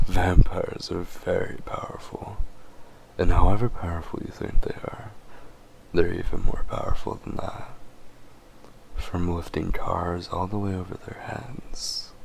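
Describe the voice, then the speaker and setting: Smug male vampire for audio roleplay, played by a man: very deep, unhurried, taunting and possessive. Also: a little hiss or hum, heavily acted, low-quality recording. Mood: sly amusement. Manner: slow, taunting, chuckling, often dropping to breathy murmurs. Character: smug, teasing, possessive male vampire toying with his prey. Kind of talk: scripted roleplay audio drama.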